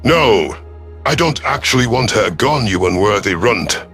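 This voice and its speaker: A man performs a proud reptilian alien commander narrating a space war story. The voice is low and stern, with formal phrasing and a gruff temper. Music sits behind it. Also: lively intonation, normal speed, fairly bright tone. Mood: exasperated. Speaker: narrator in character. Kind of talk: first-person scripted narration.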